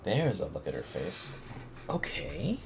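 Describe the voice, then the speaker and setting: A woman streaming a game, giving casual, musing live commentary with halting reactions. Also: rumbling low, huge pitch swings, a little hiss or hum.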